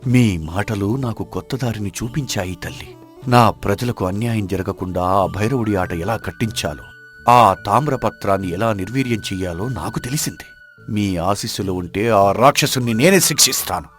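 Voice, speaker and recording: A man acts out an earnest, righteous king from a folk tale. His voice sits very low, and his speech is solemn, formal and respectful. Faint music plays behind him. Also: normal speed, natural voice. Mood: determined, respectful. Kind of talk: Telugu audio folk tale acting.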